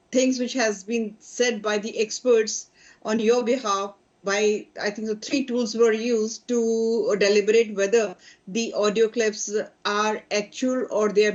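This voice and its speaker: Woman, Indian English accent, political spokesperson, insistent, halting, higher pitch, muffled audio. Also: a little mellow. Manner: insistent, enumerating points, halting fillers. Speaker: party spokesperson. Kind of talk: political debate panelist.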